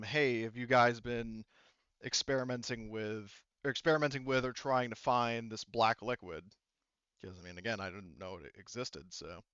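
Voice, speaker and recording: A man voicing a composed, cooperative woman ship's officer in a tabletop game, speaking in a measured, polite, official way. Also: mid-range voice, a bit bright, smooth, no room sound, lo-fi sound, close to an everyday voice.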